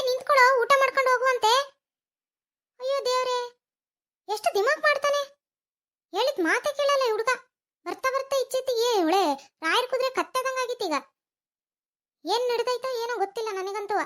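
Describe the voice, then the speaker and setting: A woman's voice: extremely high pitch, brisk, long pauses, fairly bright tone, pitched above the performer's own voice. A woman voicing a worried middle-aged mother who nags and pleads with her grown son, in a home-made cartoon. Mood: exasperated. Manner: nagging, pleading, lamenting.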